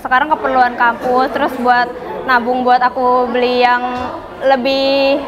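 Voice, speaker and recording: Young woman, 18, high and chatty, crowd behind. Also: flat delivery, measured pace. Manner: chatty, lots of fillers and English loanwords. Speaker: student interviewee. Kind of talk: casual street interview answers.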